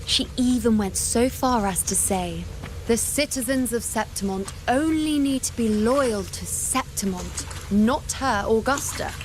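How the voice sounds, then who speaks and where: A woman's voice: fairly high pitch, measured pace, slight background noise, close to an everyday voice, rough recording. An actress playing a confident, wry young warrior woman in story dialogue for a video game. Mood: exasperated. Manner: wry, self-assured, teasing.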